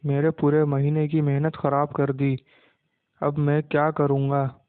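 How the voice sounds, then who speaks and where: A man's voice: on the high side, monotone, unhurried, no room sound, close to an everyday voice. A man playing a wronged, worried village boy in a cartoon moral tale, with a plaintive, dismayed manner. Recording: muffled, narrow phone-like sound.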